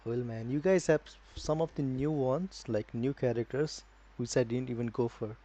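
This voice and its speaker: A man with a Nepali accent chatting casually as a live game streamer, halting as he thinks aloud. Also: medium pitch, mellow.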